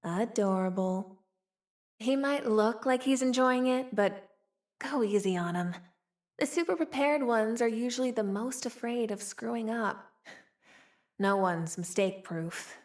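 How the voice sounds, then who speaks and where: Casting: actress as cheeky young game heroine, streetwise frontier type, highish voice, unhurried, sly teasing delivery. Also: female voice, lightly acted. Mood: teasing, wry. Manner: teasing, sly, drawling, sighing between wry remarks. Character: playful, cheeky young frontier woman with a tail, streetwise, wry. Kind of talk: video game character voice lines.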